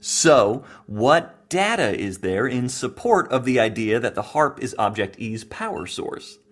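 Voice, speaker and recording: A man's voice: medium pitch, big pitch swings, measured pace, fairly bright tone. A man playing a playful, pedantic xenobiologist in an audio drama, teasing and quizzing in a precise, professorial manner. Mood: pedantic, encouraging.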